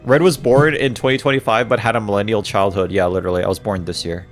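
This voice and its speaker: A man's voice: fairly low pitch, steady tone, fast talker, faint music behind. A man in his twenties streaming a game, joking with chat, reading messages aloud and laughing often. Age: 20s. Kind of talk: casual livestream chat while playing a game.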